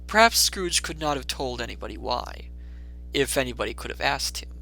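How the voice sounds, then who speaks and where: Very bright, forceful male storyteller. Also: mid-range voice, very animated, normal speed, creaky, poor audio. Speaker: narrator. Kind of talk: dramatic audiobook narration of a classic story.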